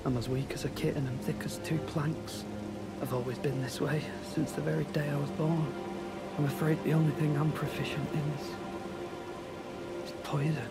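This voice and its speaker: Man acting a meek poison brewer, timid, creaky, monotone, apologetic, fantasy game, loud music behind. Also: a little high, normal speed, close to an everyday voice. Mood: embarrassed, apologetic. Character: meek, apologetic, self-deprecating poison-brewing warrior.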